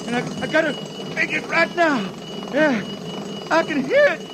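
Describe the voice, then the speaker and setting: Old folksy spaceman, really high urgent voice, old radio show w loud rumble. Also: moderate pace. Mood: strained, resolute. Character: old-time spaceman singer, dying heroically in a power room. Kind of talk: 1950s radio drama acting. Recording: noisy 1950s radio drama recording.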